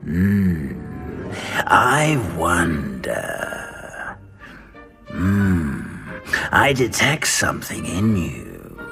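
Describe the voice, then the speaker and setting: A man voicing an ancient sentient hat in a video game, musing slowly with pondering hums. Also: low-pitched, wildly swooping pitch, gravelly, sharp tone, soft background music, heavily acted.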